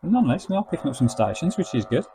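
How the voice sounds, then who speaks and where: A man's voice: a little high, sing-song, rapid-fire, noisy background. A man presenting a product review as a narrated demonstration. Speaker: reviewer and presenter of gadget videos.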